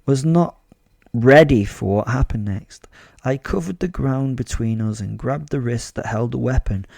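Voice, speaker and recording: A man's voice: medium pitch, unhurried, gravelly, darkish tone, no room sound, studio quality. A British man playing a gleefully sadistic, sardonic werewolf serial killer, narrating a horror audio drama in the first person with sweary, darkly comic relish. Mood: wry.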